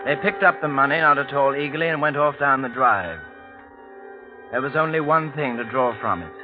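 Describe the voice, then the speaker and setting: An actor as a troubled young gentleman, dull old-radio sound. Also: medium pitch, a little fast, close to an everyday voice. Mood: grave narration. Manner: formal, literary, dryly sardonic. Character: troubled young gentleman in love, narrating a mystery. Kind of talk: old radio drama acting and first-person narration. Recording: dull old radio recording, music behind.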